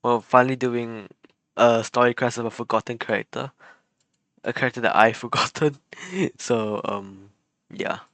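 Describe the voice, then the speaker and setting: A man live-streaming a video game, chatting casually and thinking aloud in a halting way. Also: mid-range voice, fairly even intonation, fairly bright tone, no echo. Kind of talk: casual live-stream chat while gaming. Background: faint game music.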